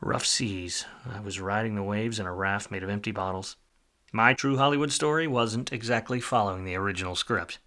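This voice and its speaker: An actor playing a world-weary, wisecracking private detective in a film noir radio play, wry and slangy. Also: male voice, lowish voice, fairly quick, pushed and bright. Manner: hard-boiled, wry, slangy.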